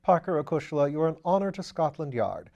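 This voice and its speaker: Flippant, playful gentleman sleuth, raised and lively, read by a man, storybook. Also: fairly high pitch, lo-fi sound, voice pushed up. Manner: playful, theatrical, quick-witted. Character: witty flippant aristocratic male amateur detective.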